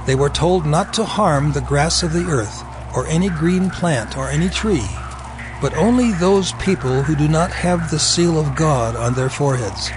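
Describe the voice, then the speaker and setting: A man narrating dramatized scripture in a solemn, measured storytelling manner. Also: on the high side. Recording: music behind the voice.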